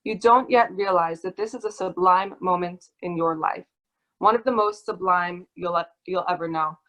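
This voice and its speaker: A woman guest reader presenting literary work on an online call in a measured storytelling way. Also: lowish voice, fairly bright tone, poor audio. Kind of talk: literary reading aloud.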